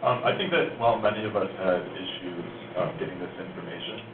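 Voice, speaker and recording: A young man student reporter reflecting thoughtfully in a steady way. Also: deep voice, quick pace, echoey room, far from the mic, phone-quality sound, background noise.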